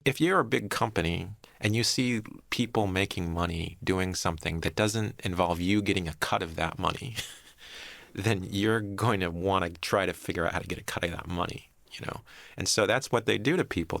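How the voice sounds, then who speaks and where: A man's voice: deep voice, vocal fry, a bit bright, dry recording, studio quality. A man who produces audio drama, speaking casually as an interviewee.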